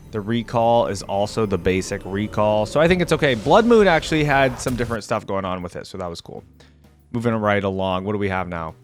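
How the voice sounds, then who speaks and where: A man hosting a reaction video, talking informally and thinking aloud in unscripted commentary. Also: mid-range voice. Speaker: YouTube reaction host.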